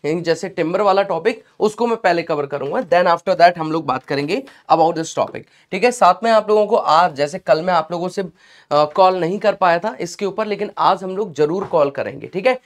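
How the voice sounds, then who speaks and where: A man's voice: high-pitched, moderate pace. A young man with a sore throat teaching a live online class, chatty and encouraging, mixing English phrases into his talk. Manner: chatty and encouraging, mixes English phrases, addresses students as beta. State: sore throat, clearing it often. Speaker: online exam-prep teacher.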